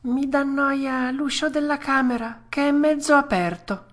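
A very high, childish storybook voice of a little wooden puppet boy, acted out by a woman. He is stubborn and whiny, protesting everything with dramatic, wailing exclamations. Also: measured pace. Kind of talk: storybook character voice.

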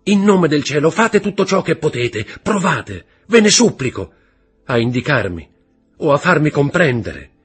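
A man's voice: fairly low pitch, lively intonation, normal speed, husky, faint music behind. A man voicing a desperate young nobleman who pleads and cries out to learn who killed his father. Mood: anguished. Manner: pleading, rising to anguished cries.

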